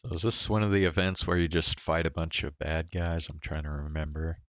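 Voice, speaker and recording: A man giving casual, low-key livestream commentary while playing a game, musing hesitantly as he goes. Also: little pitch movement, brisk, fairly bright tone, dry recording, dull audio.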